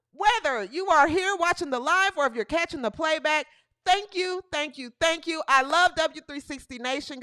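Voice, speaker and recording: Female host, 30s, bright and sharp tone, playful live-stream energy with shouted bursts. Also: high-pitched. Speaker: podcast host. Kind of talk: live-stream sports talk chat.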